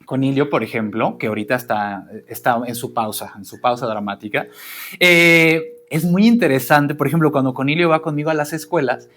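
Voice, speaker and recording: A man, a professional storyteller chatting as a guest, animated and musing. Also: medium pitch, a little fast, a little hiss or hum. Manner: animated, musing, trails off and restarts. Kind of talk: casual interview chat.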